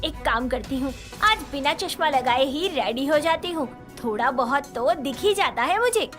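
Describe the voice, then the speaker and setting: A woman playing a gentle, patient elder sister-in-law in a cartoon moral story, speaking in a mild, earnest way. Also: high-pitched, animated, moderate pace, faint music behind. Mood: flustered. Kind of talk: animated Hindi moral story dialogue.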